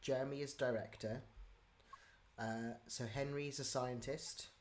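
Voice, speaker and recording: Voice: medium pitch, steady tone, unhurried, a crowd in the background. A host and writer chatting casually and gigglingly while putting questions to a guest in a livestream Q&A. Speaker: host and writer of an audio drama series.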